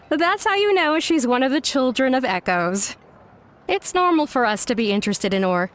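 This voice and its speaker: A woman's voice: high-pitched, animated, a little slow, long pauses, background noise. A woman voicing a friendly, helpful tribeswoman in a video game, obliging in manner. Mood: cheerful. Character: friendly, helpful tribeswoman who loves ores and gems. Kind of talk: animated video game voice acting.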